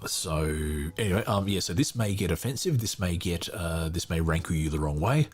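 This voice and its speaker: A man with a low voice hosts a casual podcast, commenting live as he reads. He talks haltingly and rambles off on small tangents, with faint music behind him. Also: expressive, breathy edge. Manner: rambling, halting, easily sidetracked. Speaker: podcast host. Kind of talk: casual live commentary while reading a book.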